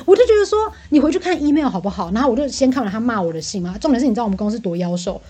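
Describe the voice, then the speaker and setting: A woman with a Taiwanese accent venting about work in casual chat, animated and indignant, mixing in English phrases. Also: a little high, rapid-fire.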